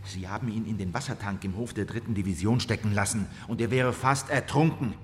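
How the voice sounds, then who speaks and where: An actor playing a stern, accusing government interrogator in a radio drama, hard, pressing and commanding. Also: male voice, fairly low pitch, brisk, lightly acted. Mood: accusing, insistent. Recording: reverberant interrogation room.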